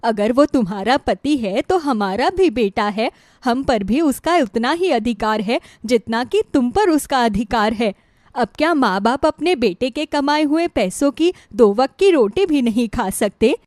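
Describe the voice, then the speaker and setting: A woman's voice: on the high side, animated, measured pace, darkish tone, no room sound, clean studio sound. A woman voicing a meek, wronged elderly mother-in-law in a cartoon story, plaintive and resigned. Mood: hurt, protesting. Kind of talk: Hindi cartoon moral-story dialogue.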